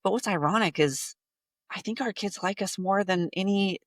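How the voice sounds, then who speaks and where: A woman's voice: mid-range voice, normal speed, no echo, clean studio sound. A woman in her thirties, a guest on a podcast, talking bluntly and emphatically and repeating points for effect. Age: 30s. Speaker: podcast guest, business coach. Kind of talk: casual interview talk.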